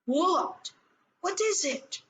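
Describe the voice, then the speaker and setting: Woman playing a slow-talking, firm, weary father. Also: very high-pitched, expressive, fairly bright tone, voice pushed up, full character voice. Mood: exasperated. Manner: matter-of-fact, firm. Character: firm, weary father dismissing his child's fears. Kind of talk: children's storybook read-aloud.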